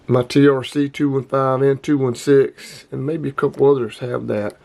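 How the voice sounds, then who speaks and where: A man's voice: medium pitch, fairly even intonation, moderate pace, gravelly, dark tone. A man hosting a hobby channel, giving a casual, plain-spoken review in informal language. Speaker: host of a radio hobby channel.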